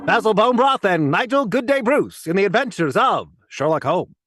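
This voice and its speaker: Man doing high-pitched, earnest old-time radio announcer. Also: very animated, fairly quick, a bit bright, faint music behind. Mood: salesmanlike. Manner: polished, emphatic salesmanship. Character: smooth-selling, earnest 1940s radio announcer pitching wine. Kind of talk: 1940s radio acting, sponsor read.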